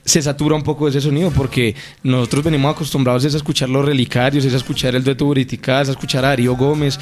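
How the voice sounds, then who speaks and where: Fast-talking singer. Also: male voice, mid-range voice, level tone, darkish tone. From Colombia. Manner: eager, talks at length, passionate. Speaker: singer guest. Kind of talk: radio interview.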